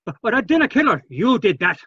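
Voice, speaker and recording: An actor voicing an excitable, cornered old Scottish man with a raspy voice in a 1940s radio drama. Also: sits high, brisk, full character voice. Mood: frantic protest. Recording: dull, dry radio recording.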